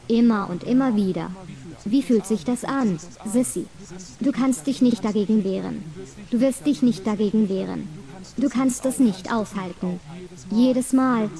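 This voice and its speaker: A commanding woman with a mellow voice. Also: a little high. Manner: commanding, slow and insistent, speaking straight to the listener; hypnotic repetition. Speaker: voice of an audio recording for listeners. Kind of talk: scripted erotic hypnosis narration.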